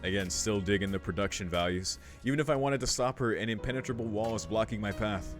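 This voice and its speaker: A man with a fairly low voice gives casual, slangy commentary on a game playthrough. He jokes and reads on-screen text aloud over music. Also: fast. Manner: slangy and jokey. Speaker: gaming video host.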